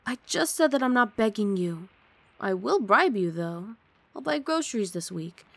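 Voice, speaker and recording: A woman's voice: medium pitch, very animated, normal speed, creaky, a bit bright, no room sound, full character voice. A woman playing a grumpy but affectionate young female roommate in an intimate ASMR roleplay, sardonic and huffy, speaking close to the mic. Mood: huffy, teasing. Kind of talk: ASMR roleplay, intimate one-sided dialogue. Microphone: close studio mic, dry.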